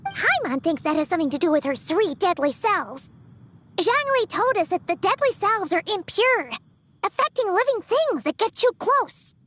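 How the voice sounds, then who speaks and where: A woman voicing a tiny, chatty, excitable childlike female companion with a squeaky voice in a video game. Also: normal speed, heavily acted. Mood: puzzled, concerned. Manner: refers to self in third person.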